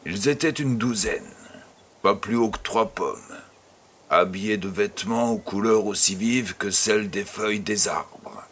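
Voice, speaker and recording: An actor playing an affectionate, teasing old farmer grandfather who tells tales in an unhurried way in an audio drama. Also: on the low side, a bit bright, slightly rough. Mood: storytelling. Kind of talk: French-language audio drama acting.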